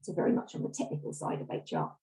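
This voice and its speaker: A woman giving a webinar presentation as a reward consultant, hoarse and under the weather, often clearing her throat. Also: quick pace, mellow. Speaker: guest speaker, reward consultant. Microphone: video call.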